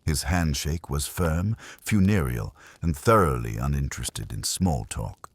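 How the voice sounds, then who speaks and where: A man's voice: a bass voice, expressive, a little slow, slightly rough, dry recording. A man narrating a first-person detective audiobook in a wry, hardboiled manner.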